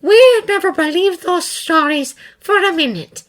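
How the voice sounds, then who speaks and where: A woman's voice: medium pitch, sing-song, normal speed, a bit bright. A woman voicing a stern but doting middle-aged wife who fusses and scolds fondly in a storybook reading.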